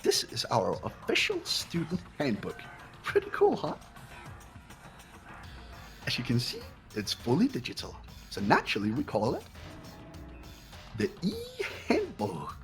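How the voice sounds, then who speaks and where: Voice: mid-range voice, huge pitch swings, a little slow, pauses often, faint music behind. Gleefully sadistic robot teddy bear. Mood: chirpy salesmanship. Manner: theatrical, mock-polite, giggling. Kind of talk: fan voice acting of a video game.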